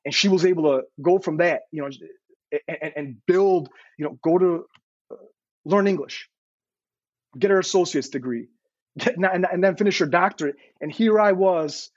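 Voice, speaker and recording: An earnest man in his thirties, from Serbia, talks in a voice a bit higher than most. He stumbles over his words and repeats them as he tells his story. The sound is dry and somewhat dull. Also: normal speed, no room sound. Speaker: guest. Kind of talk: casual interview.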